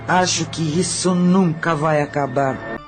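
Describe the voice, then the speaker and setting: A woman's voice: deep voice, slow. A tormented spirit of a once-cold brothel madam played by a woman confesses in a radio drama. She has a Brazilian accent and speaks matter-of-factly, with regret, over music. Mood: anguished regret. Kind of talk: Portuguese-language audio drama acting.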